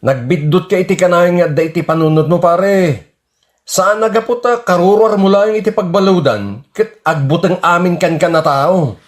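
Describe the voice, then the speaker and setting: A man's voice: high-pitched, normal speed, close to an everyday voice. A man playing a frank buddy who chides his friend in an Ilocano radio comedy drama, matter-of-fact, with an Ilocano accent. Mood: chiding, teasing.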